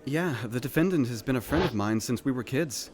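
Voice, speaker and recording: A man's voice: medium pitch, expressive, a little fast, a crowd in the background, lightly acted. A man playing a nervous, clueless young defence lawyer who rambles sheepishly in a comic courtroom game fan dub. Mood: wry, naive. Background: background music.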